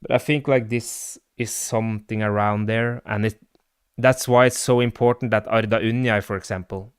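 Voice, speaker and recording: A man's voice: on the low side, fairly even intonation, normal speed, clear, resonant tone, no room sound, studio quality. A man with a Norwegian accent hosting a live stream, chatting loosely about his football club with lots of fillers and replies to chat. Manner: rambling with many fillers, answers live chat. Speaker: livestream host and football fan.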